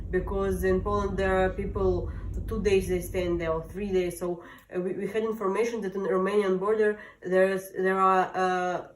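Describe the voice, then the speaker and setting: A young woman from Ukraine, speaking haltingly in an interview and searching for words. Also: medium pitch, darkish tone, a little hiss or hum. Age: young adult. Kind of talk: casual recounting. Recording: roomy echo, distant mic.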